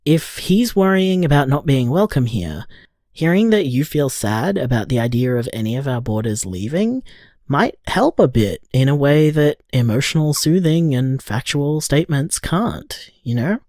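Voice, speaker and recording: An actor playing a tired, caring man who leaves a gentle, casual, reflective voicemail for his partner in an audio drama. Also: medium pitch, very animated, normal speed, no room sound, clean studio sound, close to an everyday voice.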